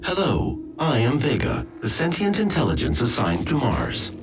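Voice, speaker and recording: A artificial intelligence from a sci-fi game, courteous, composed and exact. The medium-pitched voice moves melodically through formal reports over loud music. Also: male voice, wildly swooping pitch, moderate pace. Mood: helpful, composed. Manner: precise, formal, helpful. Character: polite, calm male artificial intelligence of a Mars base.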